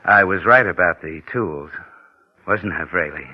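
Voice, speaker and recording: A man's voice: deep voice, measured pace. A man acting a cunning, murderous con man in old radio suspense style, hard-boiled and calculating. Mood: cold, matter-of-fact. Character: cunning murderous male con man narrating his crime. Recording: dull vintage radio recording with music.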